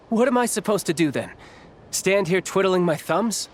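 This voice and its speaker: Cocky young fighter dude for a game, high voice, super animated and boyish. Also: male voice, measured pace, a little hiss or hum. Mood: frustrated. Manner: expressive, boyish, dramatic. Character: excitable, cheeky young male warrior, one of the Harbingers. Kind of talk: English video game voice acting. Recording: game music behind the voice.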